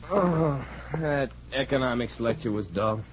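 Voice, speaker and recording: A man's voice: medium pitch, lively intonation, a little slow, heavy background noise, close to an everyday voice. An actor playing an eager, earnest young male college athlete, boyish and casual, in a 1940s radio drama. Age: young adult. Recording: dull 1940s radio recording.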